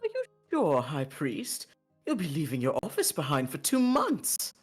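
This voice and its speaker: Casting: woman as haughty game empress, commanding mother, lowish sweeping voice, music behind. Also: wildly swooping pitch, measured pace, breathy edge, heavily acted. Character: imperious empress and domineering mother. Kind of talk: visual novel voice-over read aloud.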